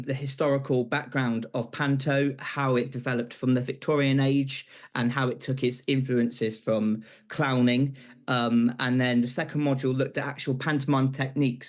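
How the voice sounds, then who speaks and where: A young British man answering questions as a guest on live television, earnest and slightly halting. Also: medium pitch. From Britain. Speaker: interview guest, pantomime graduate.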